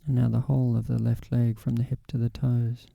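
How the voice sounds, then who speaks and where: Male meditation guide, even pitch, husky, calm and gentle, unhurried, long pauses, dry close sound. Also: no echo. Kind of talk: guided body scan meditation.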